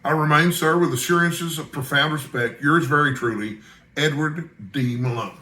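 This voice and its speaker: A man's voice: a little high, moderate pace, sharp tone, reverberant. A man voicing an eager, polite, self-assured young newspaper reporter in an audiobook, speaking earnestly and courteously. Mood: formal, flattering. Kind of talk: audiobook character dialogue.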